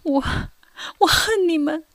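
A woman's voice: very high-pitched, takes it slowly, fairly bright tone, dry recording, studio quality, heavily acted. A young woman narrating as a former teen delinquent girl. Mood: reflective. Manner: subdued, reflective, at times whispery. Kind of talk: first-person radio drama narration.

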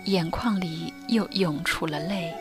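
A young woman narrating a radio drama in first person, soft and confessional, often near a whisper. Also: on the low side, measured pace. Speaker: narrator and lead. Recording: dry studio voice with faint music.

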